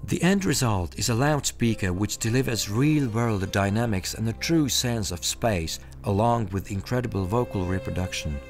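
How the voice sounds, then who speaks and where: A man reading scripted promotional documentary narration in a polished, measured manner. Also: lowish voice. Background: music.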